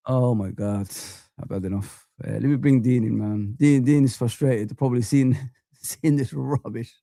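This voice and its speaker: A man's voice: medium pitch, measured pace, gravelly, warm tone, no room sound. A young man hosting a live fan chat stream, ranting heatedly and repeating himself.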